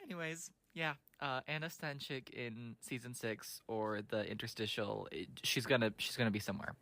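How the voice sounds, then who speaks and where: A man's voice: medium pitch, fairly even intonation, normal speed, bright, forceful tone, dry recording. A man recording a listener voice message, delivering a playful, mock-obsessive fan theory in a dramatic, insistent way.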